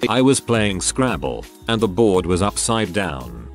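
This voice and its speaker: Low-voiced man reading stories aloud. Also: big pitch swings, a little slow, faint music behind. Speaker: narrator of online forum stories. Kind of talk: scripted narration, reading posts aloud.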